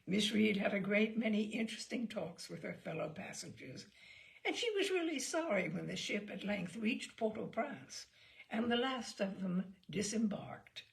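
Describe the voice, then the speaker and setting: A woman narrating a literary short story in an expressive, theatrical and measured way. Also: medium pitch, creaky. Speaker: audiobook reader.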